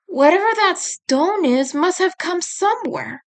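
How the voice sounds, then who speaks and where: A woman voices a bold young creature sister from a fantasy storybook. She sounds urgent and insistent, her voice raised very high. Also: lively intonation, unhurried. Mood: puzzled.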